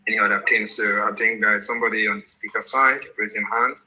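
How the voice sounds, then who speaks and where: A man, speaking in a halting, deliberate way as he leads a political discussion. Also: fairly low pitch, steady tone, pushed and bright, poor audio. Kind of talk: moderated online political discussion.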